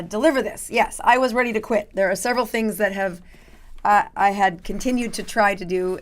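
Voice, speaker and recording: A middle-aged woman doctor with a raspy voice that is going hoarse, chatting casually as host of her live stream with many fillers. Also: medium pitch. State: losing her voice. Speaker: doctor hosting a live show.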